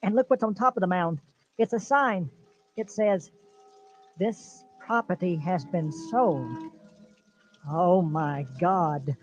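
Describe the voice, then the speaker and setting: An actor playing a jittery deputy who speaks in a hushed, urgent way. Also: medium pitch, slow, long pauses, close to an everyday voice. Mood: horrified. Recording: dull lo-fi recording with noise.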